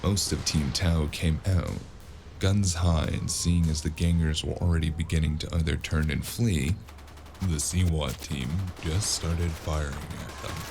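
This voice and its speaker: Deep, gravelly man narrating noir action over music. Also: steady tone, measured pace. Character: weary, wry male cyberpunk gunman telling his own story. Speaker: narrator and lead character of an audio drama. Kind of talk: first-person noir scripted narration, acted. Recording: music under the voice.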